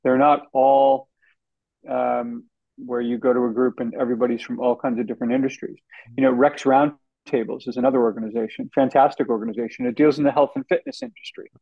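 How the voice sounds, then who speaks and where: A man answers interview questions as a guest author, speaking at a middle pitch in a relaxed, conversational way with many fillers. His audio sounds a little dull and lo-fi. There is little room sound around him. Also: brisk, gravelly, no echo.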